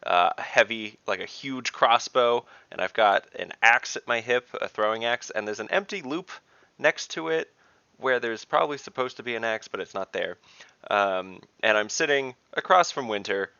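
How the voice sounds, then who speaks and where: A man's voice: medium pitch, moderate pace, creaky, bright, forceful tone, smooth, no echo. A man casually describing a character as a player in a tabletop game on a video call. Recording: slightly dull video-call audio.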